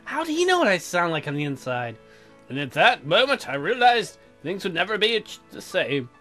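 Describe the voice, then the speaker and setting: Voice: mid-range voice, moderate pace, faint music behind. चुलबुला, बेबाक गेमर, उतार-चढ़ाव भरी तीखी आवाज़. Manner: irreverent, crude, animated. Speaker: let's player. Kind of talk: joking playthrough commentary and dramatic read-aloud.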